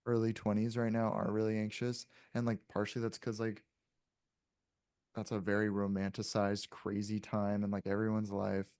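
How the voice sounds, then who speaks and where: Young guy w a lowish voice on a podcast, thinking out loud, swears a bit, super dry mic. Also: completely flat delivery, moderate pace, clean tone, no room sound. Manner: hedging, reflective, frequent swearing. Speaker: podcast guest. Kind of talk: casual podcast conversation.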